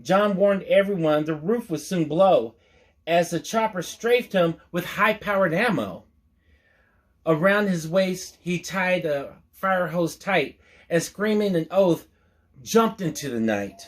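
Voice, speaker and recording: A man's voice: sits high, takes it slowly. A man reading a rhyming story aloud as a storyteller, expressively, with folksy, playful asides to his listeners.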